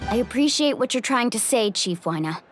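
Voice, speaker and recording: An actress playing a determined, loyal young heroine in a video game, earnest and resolute. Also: female voice, fairly high pitch, expressive, fairly quick. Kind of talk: English video game voice acting. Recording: faint game music behind voice. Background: game music.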